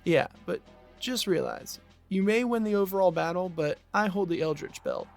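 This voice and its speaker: A fairly high-pitched young man, played by an actor, cocky and wisecracking. He banters casually like a gamer in an audio drama, with faint music behind. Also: normal speed, creaky. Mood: bantering.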